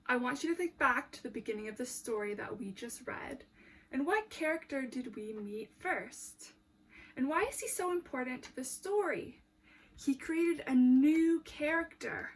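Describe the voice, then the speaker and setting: A woman teacher reading a picture book aloud to children in an animated, deliberate way, pausing to ask questions. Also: high voice, slightly rough, low-quality recording.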